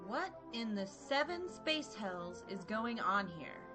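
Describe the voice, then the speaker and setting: A woman's voice: mid-range voice, sing-song, slow, over music. A woman playing a plucky, no-nonsense space heroine in a comic sci-fi radio play, speaking in an exclamatory, rallying way. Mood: indignant, brash.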